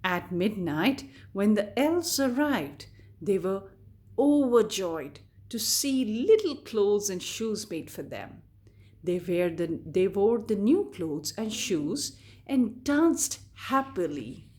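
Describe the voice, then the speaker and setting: A woman storyteller reading a scripted fairy tale to children in a deliberate, expressive way. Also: medium pitch. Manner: deliberate, expressive read-aloud delivery addressed to children.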